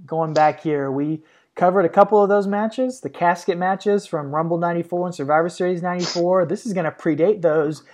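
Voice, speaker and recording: Relaxed podcast guy recapping matches. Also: sits high, brisk. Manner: relaxed, recapping, light laughs. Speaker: podcast co-host. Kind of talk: casual play-by-play recap.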